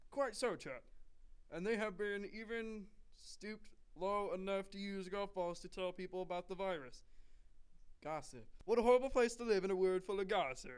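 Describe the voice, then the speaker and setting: Man acting as male news reporter, high-pitched, smooth, pompous, mock broadcast drama. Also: moderate pace, lightly acted. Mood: dramatic.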